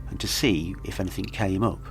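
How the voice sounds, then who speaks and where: A man reading scripted mystery narration in a measured, dramatic storytelling manner. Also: deep voice, fairly even intonation, creaky, soft background music. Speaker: documentary podcast narrator.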